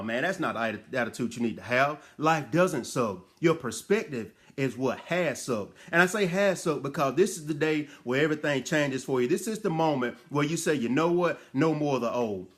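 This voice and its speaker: Emphatic male motivational speaker, higher-pitched. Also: fairly bright tone. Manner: emphatic, direct address with rhetorical questions and repeated phrases; colloquial, folksy phrasing. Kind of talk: motivational talk, preacher-like exhortation.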